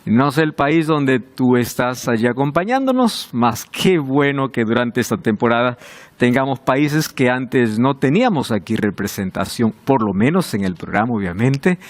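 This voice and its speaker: A man's voice: mid-range voice, animated, moderate pace. A man hosting a televised Bible study, welcoming and speaking directly to the viewers. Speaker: host of a Bible study show.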